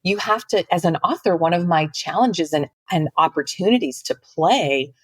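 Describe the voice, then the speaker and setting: Woman, lower-pitched, articulate and earnest author with an expressive reader's delivery, dry sound. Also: normal speed, slightly rough, no echo. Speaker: author, podcast guest. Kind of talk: expressive book reading and conversation.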